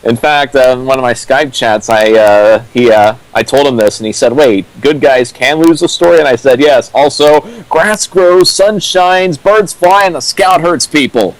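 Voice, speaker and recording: A man co-commentating in casual, opinionated discussion, talking haltingly as he explains through examples. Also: mid-range voice, no room sound, low-quality recording.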